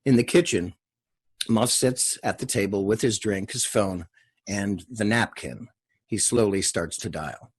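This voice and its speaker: Casting: male narrator, deep-leaning husky voice, reads scene headings and action lines from a script. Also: measured pace. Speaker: narrator reading stage directions. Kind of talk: scripted narration of screenplay directions.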